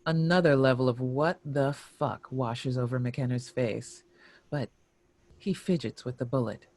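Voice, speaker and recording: A man reading scripted screenplay stage directions in a measured, descriptive narration. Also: mid-range voice, a little mellow.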